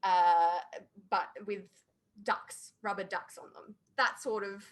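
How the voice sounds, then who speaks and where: A woman's voice: medium pitch, fairly even intonation, slow. A woman chatting informally and emphatically as a podcast panelist reviewing a show. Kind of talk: casual opinionated review chat.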